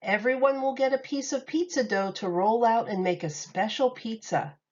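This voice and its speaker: A woman's voice: a little high, moderate pace, darkish tone, voice pushed up, lightly acted. A woman playing a firm storybook mother. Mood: explaining. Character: little girl's mother, firm party host. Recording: slightly muffled recording.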